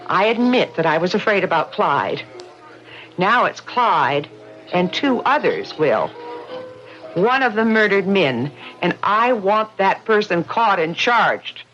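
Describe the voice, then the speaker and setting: A woman's voice: medium pitch, big pitch swings, slow pace, fairly bright tone, soft background music. An actress in an old-time radio drama playing a determined woman investigating her friend's murder. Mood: resolute. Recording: muffled lo-fi radio broadcast.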